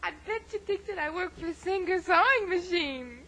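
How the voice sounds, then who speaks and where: A woman's voice: extremely high pitch, animated, normal speed, fairly bright tone, slight background noise. A woman playing a giddy young woman who is a pop-music fan, talking in a teasing, giggly way and spinning tall tales. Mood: playful. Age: young adult. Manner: playful, teasing, giggly, tall-tale telling.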